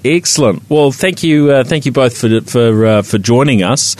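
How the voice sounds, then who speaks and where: A man's voice: mid-range voice, moderate pace, darkish tone. A man with a New Zealand accent hosting a tech podcast, talking casually with many fillers and restarts. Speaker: podcast host. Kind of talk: casual tech news chat.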